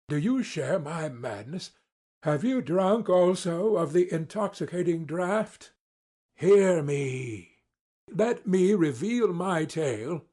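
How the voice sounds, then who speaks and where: Man voicing a slow, anguished, broken stranger. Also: sits high, darkish tone, voice pushed up, heavily acted. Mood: anguished, urgent. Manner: solemn, impassioned, declamatory. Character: grief-stricken, broken, eloquent male stranger, intense and despairing. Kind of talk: dramatic audiobook character reading.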